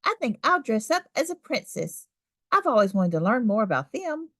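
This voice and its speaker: A woman voicing an eager, curious young schoolgirl in an expressive storybook reading. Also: on the high side, normal speed, no room sound, clean studio sound. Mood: excited.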